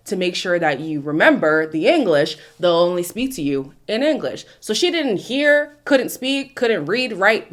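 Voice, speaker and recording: A young woman from Canada, whose first language is Ndebele, chatting through a casual recap of a dating show with strong opinions. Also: lowish voice. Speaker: recap host.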